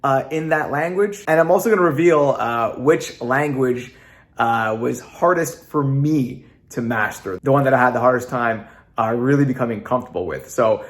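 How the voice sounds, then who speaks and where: A man's voice: medium pitch, normal speed. Earnest Canadian man vlogging. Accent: North American. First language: Italian. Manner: earnest, repetitive, frequent "uh" fillers. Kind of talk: casual talking-to-camera vlog.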